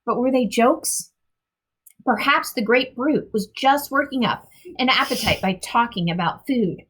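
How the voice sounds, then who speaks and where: Bright, high-voiced woman reading aloud. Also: measured pace, breathy edge, rough recording. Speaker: storybook reader. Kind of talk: expressive read-aloud narration for children.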